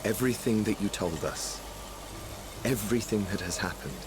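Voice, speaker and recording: A fairly low male voice for a proud, sardonic man, acted out in a fantasy game. He is dry, formal and theatrical, with clear noise behind him. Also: animated, moderate pace, natural voice. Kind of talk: dramatic video game acting. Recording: noisy background behind the voice.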